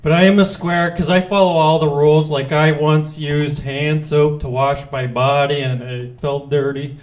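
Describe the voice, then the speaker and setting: A young man doing halting, deadpan stand-up on a handheld mic in a small comedy club. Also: a little high. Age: young adult. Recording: audience laughing in the room.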